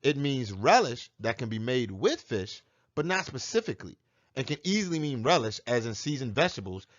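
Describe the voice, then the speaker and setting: A man's voice: mid-range voice, sing-song, brisk, no echo. Man, scripture teacher, argumentative, rhetorical questions, dry dull lo-fi sound. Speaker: religious teacher.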